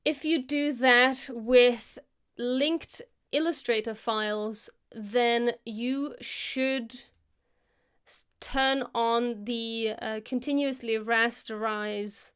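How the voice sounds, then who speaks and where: A woman hosting a live stream, casually talking through a software tutorial as she works, thinking aloud into a close microphone. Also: a little high, steady tone, very slow, clean tone. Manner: casual, chatty. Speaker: host of an animation live stream.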